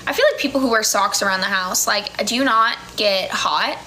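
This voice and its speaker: A woman's voice: mid-range voice, moderate pace, sharp tone, a little hiss or hum. A woman in her twenties with a standard American accent, chatting casually on a livestream, reading viewer comments with frequent laughs and fillers. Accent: General American. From United States. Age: 20s. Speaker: livestream host. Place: small indoor room. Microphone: phone camera close up.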